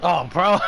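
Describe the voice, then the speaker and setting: A man's voice: high-pitched, gravelly, sharp tone. A man doing casual livestream commentary as he plays a game, with some noise behind him. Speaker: game streamer.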